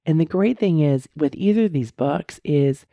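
Middle-aged female podcast host, hoarse and husky, low mellow tone, close dry mic, earnest coaching delivery. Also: expressive, no room sound, lo-fi sound. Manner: earnest, encouraging, repeats key points slowly. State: hoarse, strained voice. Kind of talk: casual solo podcast talk.

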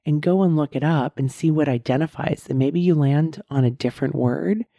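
Middle-aged female podcast host, hoarse and husky, low mellow tone, close dry mic, earnest coaching delivery. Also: no echo. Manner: earnest, encouraging, repeats key points slowly. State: hoarse, strained voice. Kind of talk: casual solo podcast talk.

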